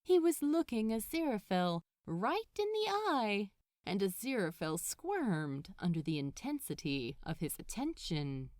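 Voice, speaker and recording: Female story narrator, very animated intonation, measured pace, close dry sound. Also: medium pitch, no echo. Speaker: podfic narrator. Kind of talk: expressive scripted fiction narration.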